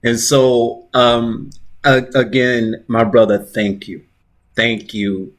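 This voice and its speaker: A man hosting an interview on a video call, soft-spoken and stammering a little as he starts his sentences. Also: medium pitch, level tone, slow. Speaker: host. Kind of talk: conversational interview.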